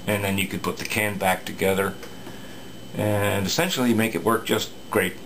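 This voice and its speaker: A man's voice: on the low side, fairly even intonation, normal speed, a bit bright, slight background noise, poor audio. A man talking casually at his workbench, explaining a radio repair with many fillers and restarts.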